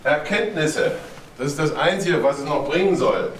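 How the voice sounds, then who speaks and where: A man's voice: fairly high pitch, animated, moderate pace, natural voice. Man acting as male detective, blunt, dry, sardonic, crime drama, echoey room, faint noise. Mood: probing, sardonic. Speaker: actor playing a police detective. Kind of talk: German TV crime drama dialogue. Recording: roomy sound with faint noise.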